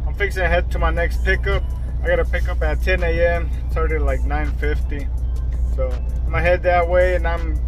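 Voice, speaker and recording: A male trucker of Mexican background talks loosely to his camera about loads and deliveries, drifting between thoughts with plenty of "you know" and "like." His voice sits a touch high for a man. Faint music plays under the road and vehicle noise. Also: low-quality recording. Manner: rambling, loose, full of fillers and restarts. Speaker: truck driver vlogger. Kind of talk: casual vlog chat.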